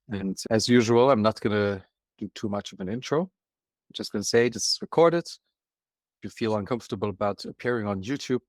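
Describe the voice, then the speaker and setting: A man hosting an online town hall, giving a formal welcome in measured, deliberate phrases over a video call. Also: on the low side. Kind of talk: formal spoken welcome and introduction.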